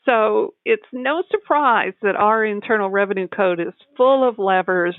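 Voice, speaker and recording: A woman's voice: mid-range voice, dry recording. Articulate woman on a tinny line. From United States. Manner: measured, articulate explanations. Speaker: tax advisor guest. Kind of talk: podcast interview.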